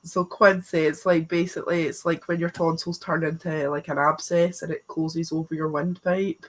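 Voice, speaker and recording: A woman's voice: sits low, little pitch movement, moderate pace. A young woman chatting as a guest, her nose blocked and stuffy, giggly and chatty. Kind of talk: casual chat on a call.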